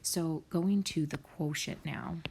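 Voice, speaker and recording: A woman's voice: sits low, steady tone, slow pace, noisy background. A woman math teacher talking students through problems step by step in a recorded screen lesson, often dropping to a near whisper.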